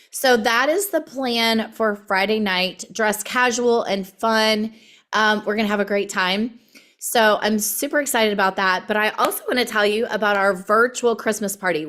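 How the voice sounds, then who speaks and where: A woman with a hoarse voice she says she is losing, hosting a video call and giving chatty announcements full of ums. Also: mid-range voice. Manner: chatty and warm, many ums.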